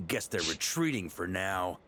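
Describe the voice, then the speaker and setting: Low voiced calm confident knight leader for a game, lively delivery. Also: male voice, takes it slowly, noisy background, exaggerated voice. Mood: calm, measured. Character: seasoned male knight commander, calm and confident. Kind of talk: video game voice acting.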